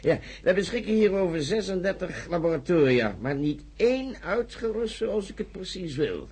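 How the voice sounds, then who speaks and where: Raspy, animated old professor. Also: male voice, high voice, moderate pace, heavily acted. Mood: jovial, bustling. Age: older. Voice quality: raspy, gravelly. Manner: bluff, chuckling, lecturing, peppered with interjections. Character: bluff, jovial, blunt old male university professor. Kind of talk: radio drama acting.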